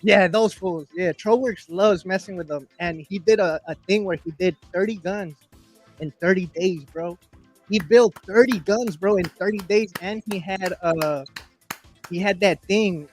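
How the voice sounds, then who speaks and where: A man's voice: sits high, a little slow, faint music behind. A man hosting a podcast in casual chat, loose and slangy, calling his guest "bro" often. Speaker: podcast host.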